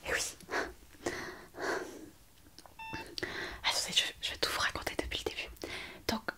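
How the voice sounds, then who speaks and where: A woman playing an excitable, caring young hospital worker in a whispered ASMR roleplay, gossipy and hesitant as she tells a story. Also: quick pace, close to an everyday voice. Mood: excited, animated. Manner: breathless, hesitant storytelling to the listener.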